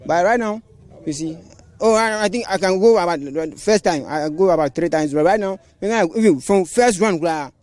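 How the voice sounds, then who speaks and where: A man with a Ghanaian accent, interviewed outdoors on a handheld mic, halting and restarting as he talks. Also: sits high, darkish tone, no room sound. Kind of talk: street interview. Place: outdoors by a roadside. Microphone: handheld reporter mic.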